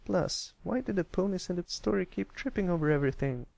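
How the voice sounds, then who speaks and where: A man's voice: high-pitched, animated, a little fast, creaky, a little mellow, voice pushed up. A man voicing a kindly, blunt and curious young pony filly in a storybook reading, in a plain-spoken way. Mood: skeptical.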